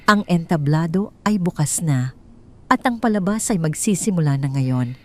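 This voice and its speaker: Female storyteller, lowish pitch, tense dramatic audiobook read. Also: expressive, moderate pace, dark tone. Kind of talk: scripted first-person audio drama narration.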